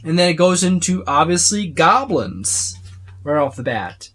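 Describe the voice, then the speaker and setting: A tabletop game reviewer giving a casual, unscripted overview, speaking haltingly with repeated words and trailing lists. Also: medium pitch, big pitch swings, fairly bright tone. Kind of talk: casual unscripted book flip-through overview.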